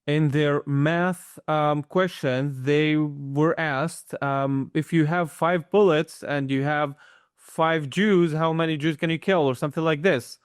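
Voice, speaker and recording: A man's voice: a little high, fairly even intonation, slow, clean tone, no echo, studio quality. A man hosting an interview, asking questions in a thoughtful way. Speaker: host.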